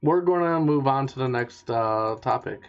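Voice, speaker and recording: A man's voice: mid-range voice, normal speed, clear, resonant tone. A man hosting a casual radio show, reading news items with many ums and restarts. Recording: dull lo-fi stream audio.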